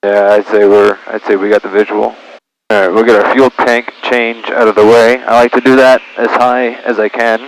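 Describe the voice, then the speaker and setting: A man's voice: fairly low pitch, flat delivery, moderate pace, vocal fry, slight background noise, low-quality recording. A man piloting a small plane, narrating each procedure as he goes and making radio calls, heard through a cockpit headset. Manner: talks himself through checklists and procedures. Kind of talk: vlog narration of his flying and radio calls. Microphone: aviation headset.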